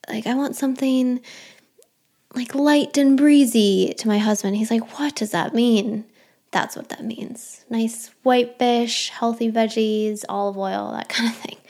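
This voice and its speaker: A woman's voice: a little high, vocal fry, a little mellow. A young adult woman hosting her own podcast, chatting casually and rambling through listener questions with a self-deprecating touch. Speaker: podcast host.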